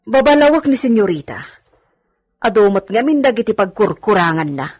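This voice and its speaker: Radio drama maid and confidante, female, played by an actress: medium pitch, a bit husky, frank, concerned. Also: sing-song, moderate pace, darkish tone. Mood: worried, gossiping. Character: concerned, outspoken female housemaid, confidante to her mistress. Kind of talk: Ilocano radio drama acting.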